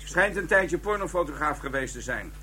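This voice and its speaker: Wry detective, bright-voiced. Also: male voice, a little high, animated, moderate pace. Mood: matter-of-fact. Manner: offhand, sardonic. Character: wry, colloquial male police detective reporting findings. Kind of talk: Dutch radio drama acting.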